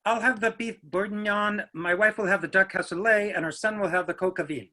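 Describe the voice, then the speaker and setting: A man acts a middle-aged father in a stage play reading. His voice is high and bright, with a forceful edge. He is dry, commanding and sardonic. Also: fairly even intonation, brisk. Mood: brisk, assured. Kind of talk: readers theatre play reading.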